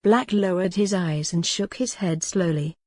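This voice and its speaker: A woman's voice: fairly low pitch, measured pace, darkish tone, no room sound, studio quality. A woman reading historical romance fiction as a scripted audiobook narration.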